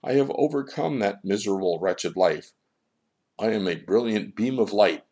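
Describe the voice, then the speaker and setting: Storybook gull, played by a man: proud, defensive seeker, raised pitch, emphatic and pleading. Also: expressive, normal speed, darkish tone. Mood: indignant, boastful. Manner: protesting, boastful, emphatic. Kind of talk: storybook character dialogue.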